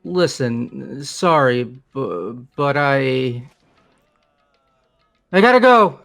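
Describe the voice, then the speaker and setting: Flustered, evasive young man for a game, acted by a man: creaky voice, very slow halting delivery. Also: mid-range voice, very animated, fairly bright tone, faint music behind, heavily acted. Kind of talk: amateur game voice acting.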